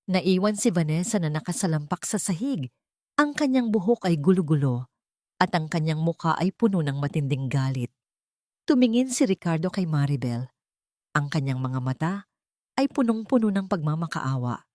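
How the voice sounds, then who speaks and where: A woman's voice: low-pitched, expressive, normal speed, darkish tone, no room sound, studio quality. A woman narrating a scripted story in a dramatic, emotive and solemn way.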